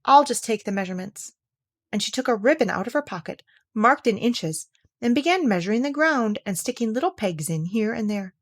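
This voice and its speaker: A woman narrating a classic children's story aloud in an expressive, scripted storytelling style. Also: fairly high pitch, a little fast, no echo, clean studio sound. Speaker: audiobook reader.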